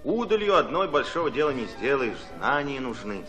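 An actor playing an earnest, persuasive Red Army commissar in a radio play, speaking in a measured manner. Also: male voice, medium pitch, sing-song, a bit bright, faint music behind. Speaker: actor in an audio drama. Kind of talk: radio play dialogue and narration.

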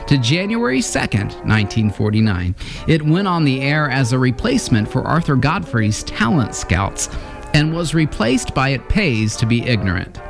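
A man's voice: mid-range voice, gravelly. A man in his fifties hosting a retro radio show, reading an upbeat scripted introduction into a studio mic. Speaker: host. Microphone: studio mic on arm. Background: music.

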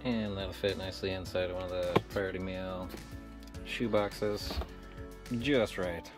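A man's voice: low voice, fairly even intonation, measured pace. A man narrating a reselling vlog in a casual, rambling, matter-of-fact way with plenty of filler words. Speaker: online reseller hosting a shipping vlog. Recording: music behind the voice. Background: background music.

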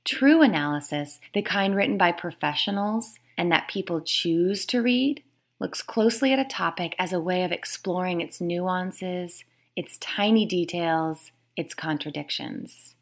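A woman author reading her nonfiction book aloud in a measured, explanatory way. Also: on the low side. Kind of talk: scripted read-aloud of nonfiction.

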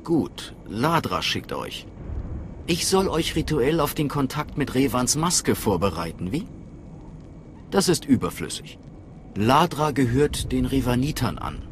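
An actor voicing a calm, sceptical scholarly steward of a cult in a video game, earnest and persuasive. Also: male voice, medium pitch, a little slow, slight background noise. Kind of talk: dramatic video game dialogue. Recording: faint music behind voice.

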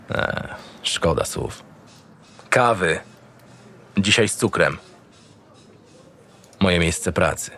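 Actor as a gruff, low-voiced cynical detective. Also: male voice, slight background noise. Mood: irritated, scornful, then sardonic. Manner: gruff, sardonic, swearing. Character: cynical, irritable male homicide detective, foul-mouthed boss. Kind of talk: first-person audio drama narration and dialogue.

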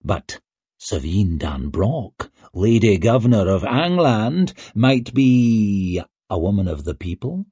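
A man voicing a vain, flattering male writer who speaks in flowery, grandiose phrases. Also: lowish voice, husky, dry recording, exaggerated voice.

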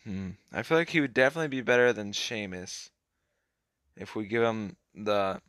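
A young man casually commenting on a mobile card game as he plays, hoarse and clearing his throat, his voice trailing off in a laid-back mumble. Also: lowish voice, moderate pace, a bit bright, no echo, studio quality.

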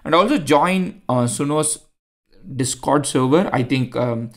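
A man's voice: on the high side, a little slow. A young man with an Indian accent, explaining a coding tutorial in a chatty way with many fillers and restarts. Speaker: tutorial host. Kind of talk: screen-recorded coding tutorial.

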